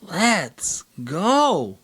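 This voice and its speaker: A man's voice: sits high, very animated, very slow, a bit bright, breathy edge. A man hosting a comic book video series in casual, conversational vlog style, upbeat and coaxing as he chats with a partner and addresses viewers.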